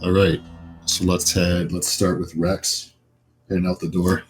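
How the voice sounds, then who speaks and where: A man acting as game master, casually describing scenes in a halting, conversational way. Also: deep voice, level tone, slightly rough, soft background music.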